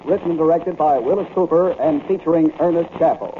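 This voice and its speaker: A male radio announcer in the 1940s style, with a fairly high voice. The recording is narrow and tinny, with faint noise. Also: a little fast. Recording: noisy, tinny vintage radio broadcast.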